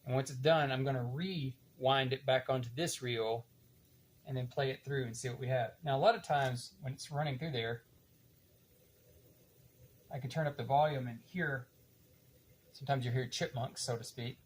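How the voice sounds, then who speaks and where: An older man giving a casual, unhurried hands-on demonstration, halting now and then as he thinks aloud while working. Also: medium pitch, steady tone. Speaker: demonstrator of reel-to-reel tape machines.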